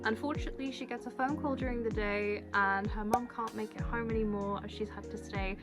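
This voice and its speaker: A young woman with a bubbly, expressive and slangy manner, chatting to camera as a video creator introducing her vlog. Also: a little high, a little fast. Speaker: cosplay video creator and host. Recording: music playing behind the voice. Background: music.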